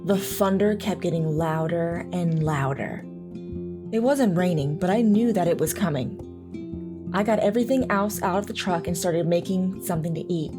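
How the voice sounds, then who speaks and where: A woman's voice: fairly low pitch, moderate pace, music in the background, natural voice. A woman playing a flustered, self-doubting mother who narrates and talks in an audio drama. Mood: reflective narration.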